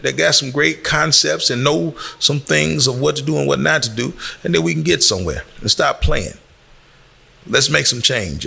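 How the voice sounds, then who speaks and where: A man's voice: fairly high pitch, creaky, bright, forceful tone, breathy edge. An American man giving an informal, admonishing exhortation as a community speaker, emphatic and fond of rhetorical questions.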